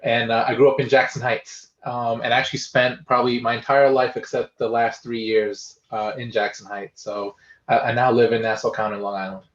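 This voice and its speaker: A man from New York introducing himself on a video call, friendly and measured. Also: medium pitch, little pitch movement, a bit bright. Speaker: vice president. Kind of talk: casual self-introduction. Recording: dull, echoey room sound.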